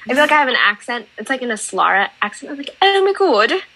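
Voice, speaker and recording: A woman's voice: high voice, big pitch swings, fast talker, bright, forceful tone, husky, poor audio. A young woman chatting playfully with friends and laughing often. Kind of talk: casual chat with friends.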